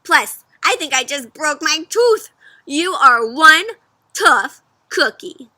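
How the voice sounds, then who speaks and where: A woman voicing a sly, hungry male fox in a children's storybook, with emphatic, drawn-out delivery. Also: high-pitched, lively intonation, pushed and bright, no room sound, studio quality, voice pushed up, exaggerated voice. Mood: disgusted. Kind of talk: children's storybook read-aloud.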